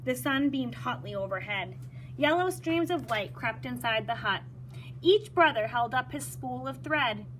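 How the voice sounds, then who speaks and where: A woman reading a children's story aloud in an animated way, acting out dialogue and pausing to explain words. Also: sits high, slight background noise, poor audio. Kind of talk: expressive read-aloud with brief explanations for children.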